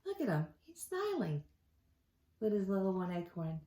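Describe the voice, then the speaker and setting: A woman teacher reading a storybook aloud to children, expressively, with quiet asides. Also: medium pitch, very animated, moderate pace, a little hiss or hum. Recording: echoey room.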